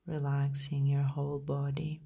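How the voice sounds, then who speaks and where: Low, slow, mellow woman guiding meditation. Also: monotone, creaky, breathy edge. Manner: gentle, soothing, unhurried with long pauses. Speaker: meditation guide, podcast host. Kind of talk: guided meditation narration.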